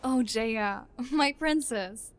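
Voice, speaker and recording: An actress voicing a teasing, doting young girl-group singer in an audio drama, playful and coaxing with drawn-out words. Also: fairly high pitch, dry recording. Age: young adult.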